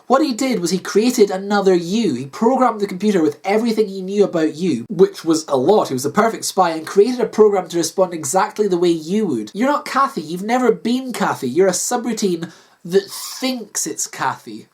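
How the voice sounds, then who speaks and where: A woman voicing a gentle, resigned, grieving man who explains things earnestly. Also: medium pitch, lively intonation, normal speed, close to an everyday voice. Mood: firm explaining.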